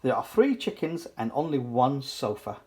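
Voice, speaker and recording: A children's book reader giving an animated, playful, sing-song read-aloud for toddlers. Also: mid-range voice, normal speed.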